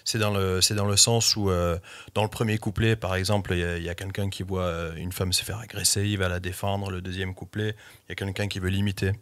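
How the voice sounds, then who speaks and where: A man's voice: deep voice, level tone, a little fast, a bit bright. A middle-aged man raised in England, English his first language, chatting in an interview as a guest singer-songwriter, with many fillers and restarts. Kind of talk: casual interview chat.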